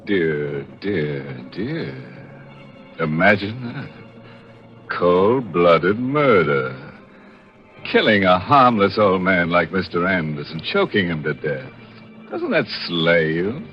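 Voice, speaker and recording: Slow, deep macabre horror host. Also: male voice, big pitch swings, faint music behind, heavily acted. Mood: gleefully sardonic. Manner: mock-sympathetic, sardonic. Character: gleefully macabre, punning male horror show host. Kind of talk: 1940s radio hosting. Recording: muffled vintage 1940s radio broadcast.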